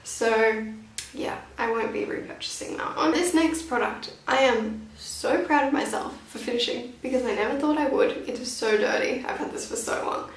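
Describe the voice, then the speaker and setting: Young woman, high voice, roomy echo, casual vlog chat, informal and self-deprecating, beauty reviewer. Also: normal speed. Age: 20s. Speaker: beauty vlogger. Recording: roomy echo, distant from the mic.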